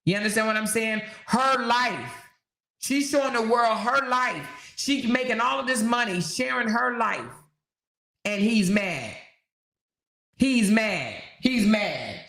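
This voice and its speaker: A woman's voice: fairly high pitch, slow pace, fairly bright tone, reverberant. A woman hosting a casual livestream, reading viewer comments and commenting on them emphatically, repeating her points and tossing in rhetorical questions. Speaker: livestream host.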